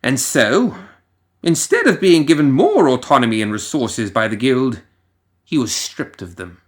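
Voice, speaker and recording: A man reading a scripted story as narrator, in a theatrical, ominous manner with lingering pauses. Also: mid-range voice, very animated.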